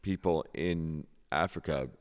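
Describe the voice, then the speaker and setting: A man's voice: very deep voice, a little slow, long reverb tail. A man hosting a podcast interview in a casual way, giving short reactions and rephrasing his questions. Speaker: podcast host.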